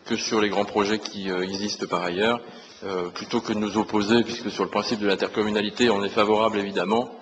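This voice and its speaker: Male councillor, low voice, halting impromptu debate remarks, conciliatory, reverberant room. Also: level tone. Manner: hesitant, repeats words, conciliatory. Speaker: opposition city councillor. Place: large council meeting room. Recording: reverberant hall with faint noise.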